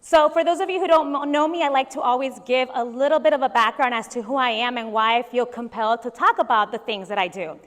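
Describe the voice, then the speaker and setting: A woman from Venezuela giving a conference keynote speech in a lively, animated way. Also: on the high side, fast talker, few pauses. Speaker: keynote speaker. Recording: long hall reverb.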